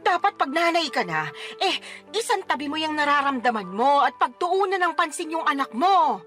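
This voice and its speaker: A woman's voice: high voice, sing-song, normal speed, bright, forceful tone, soft background music, exaggerated voice. An actress playing a blunt, scolding older mother in a radio drama, exclamatory and protective of her grandchild. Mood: admonishing. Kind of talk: Tagalog radio drama acting.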